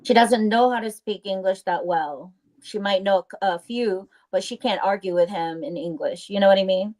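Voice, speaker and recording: A woman talks in a casual live stream discussion. Her voice sits at an ordinary middle pitch, and she speaks informally and bluntly, with an indignant edge. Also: moderate pace, darkish tone, low-quality recording.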